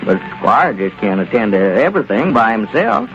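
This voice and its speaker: An actor playing a plain-spoken country friend with chuckling asides in a 1930s radio comedy. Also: male voice, fairly low pitch, very animated, moderate pace, a bit bright, soft background music. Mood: friendly. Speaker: townsman Dick. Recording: lo-fi old radio broadcast.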